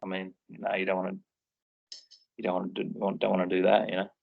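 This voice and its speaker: A man's voice: low-pitched, completely flat delivery, quick pace, gravelly. A man hosting a casual podcast chat, talking in a laid-back, rambling way. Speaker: podcast host. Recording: dull lo-fi recording.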